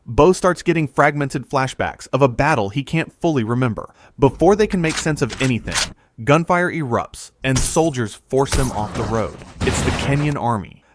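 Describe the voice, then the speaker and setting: A man reading a scripted movie recap in a steady storytelling delivery. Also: medium pitch, noisy background. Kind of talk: scripted narration.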